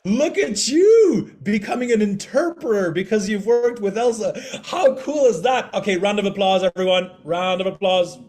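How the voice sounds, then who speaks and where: A man's voice: high-pitched, lively intonation, moderate pace, hardly any pauses, darkish tone. A man hosting an online group call, upbeat and encouraging in a chatty way. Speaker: host.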